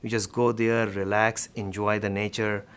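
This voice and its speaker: A man from Pakistan talking conversationally in a documentary interview, with somewhat hesitant, halting phrasing. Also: lowish voice, flat delivery, clear, resonant tone. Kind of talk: conversational reminiscing and explaining.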